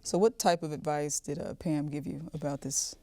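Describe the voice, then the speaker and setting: A woman hosts an interview. Her voice is low and mellow, recorded dry, and she puts questions to guests in a conversational way. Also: steady tone, moderate pace, dry recording. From Mississippi, United States. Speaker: podcast host, interviewer.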